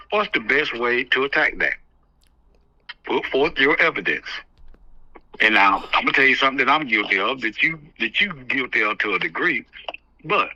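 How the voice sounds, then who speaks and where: A man's voice: mid-range voice, pushed and bright, no room sound. A man giving candid, emphatic advice on a phone call, repeating phrases to drive his point home. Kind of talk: candid phone conversation, advising. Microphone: telephone. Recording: muffled, band-limited phone call.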